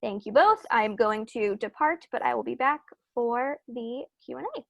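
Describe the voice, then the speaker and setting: A woman with a fairly high voice and clear vocal fry hosts an online author event. She sounds informal and matter-of-fact, and the sound is close and dry. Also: normal speed, fairly bright tone, no echo. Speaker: bookstore event host. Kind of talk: introducing a virtual author event. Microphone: video call.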